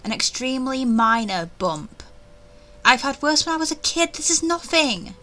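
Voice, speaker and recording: A woman reading a visual novel aloud in first person, animated and chatty, with offhand asides. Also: high-pitched, moderate pace.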